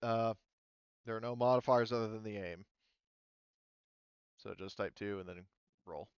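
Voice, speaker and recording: Clear-voiced male game master, slightly muffled mic. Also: on the low side, a little slow, dry recording. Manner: thinks aloud while looking up rules. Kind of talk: running a tabletop roleplaying game, casual explanation.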